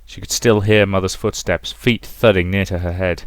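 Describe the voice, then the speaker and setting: Low-pitched man narrating a story. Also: steady tone, moderate pace, no echo. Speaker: let's play host, narrator. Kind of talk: dramatic story narration of a visual novel. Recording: music behind the voice.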